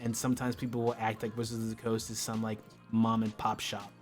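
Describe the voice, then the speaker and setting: A man's voice: fairly low pitch, monotone, fairly quick, faint music behind. An American man hosting a casual livestream chat, talking in an impassioned, slangy way and swearing freely. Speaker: livestream host.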